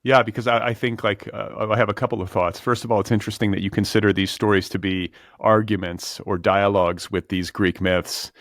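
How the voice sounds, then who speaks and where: A man's voice: on the low side, fairly even intonation, fairly quick, hardly any pauses, fairly bright tone, dry recording, studio quality. A man hosting a casual literary interview, talking in a conversational, discursive and somewhat tentative way. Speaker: podcast host.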